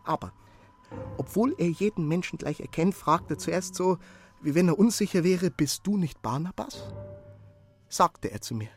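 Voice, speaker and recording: Radio drama: servile, earnest male messenger, higher-pitched, halting, apologetic, light music underneath. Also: lively intonation. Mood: eager. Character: eager, servile young male messenger reporting his efforts.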